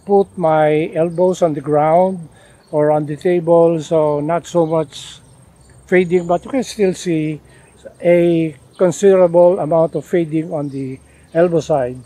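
An older man showing off his denim jacket outdoors, talking casually with lots of pauses and "uh" fillers. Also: fairly high pitch, steady tone, warm tone, poor audio. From Philippines. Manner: unhurried, many "uh" fillers and restarts. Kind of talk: casual show-and-tell. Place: outdoors in a leafy garden.

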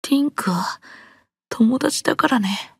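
Casting: gentle, lonely boy, hesitant and wistful, husky, slightly high voice, close and dry, audio drama. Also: expressive, unhurried, no room sound, exaggerated voice. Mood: eerie, pleading. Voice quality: husky, breathy. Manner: hesitant, soft-spoken, wistful. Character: lonely, gentle young boy, longing to play with others. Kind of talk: Japanese anime-style audio drama acting.

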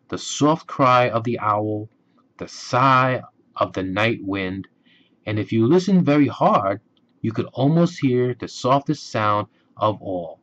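A man reading a children's bedtime story aloud with deliberate, gentle, dramatic emphasis. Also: medium pitch, muffled recording. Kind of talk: expressive bedtime story narration.

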